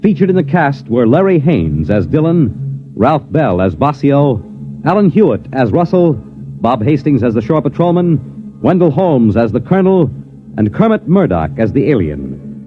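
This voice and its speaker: A man with an American accent announcing in a formal, polished, deliberate and dramatic 1950s radio style. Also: mid-range voice, big pitch swings, faint music behind. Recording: dull vintage radio recording.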